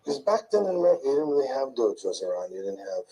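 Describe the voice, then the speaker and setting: An older man slowly recalling his past in a soft, murmuring, rambling way, often trailing off. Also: mid-range voice, sing-song, slight background noise, poor audio. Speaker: interviewee in played clip. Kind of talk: slow rambling recollection.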